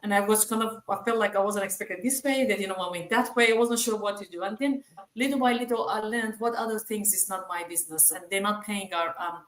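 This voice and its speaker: A woman's voice: medium pitch, a little fast, roomy sound, rough recording. A woman with a Persian accent, speaking English as a second language, reassuring others in a casual group chat with many hesitations. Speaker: team member sharing experience.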